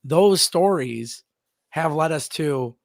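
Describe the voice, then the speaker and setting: A man's voice: on the high side, expressive, slow, gravelly, no room sound, studio quality. A man hosting a live wrestling talk stream, speaking emphatically and reading out viewer messages. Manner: emphatic, repeats words, reads viewer messages aloud. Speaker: wrestling show host. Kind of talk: casual live-stream chat.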